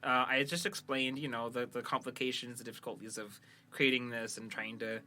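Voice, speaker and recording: A man's voice: mid-range voice, steady tone, a little fast, sharp tone. A man hosting a podcast in casual banter, chatting and reading out listener reviews. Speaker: podcast host and creator of an amateur audio drama.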